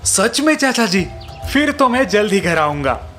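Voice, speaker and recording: Man playing whiny, boastful young village son, animated pitch. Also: unhurried, soft background music, heavily acted. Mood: eager. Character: spoiled, boastful young village son, lazy big dreamer. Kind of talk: animated Hindi moral story dialogue.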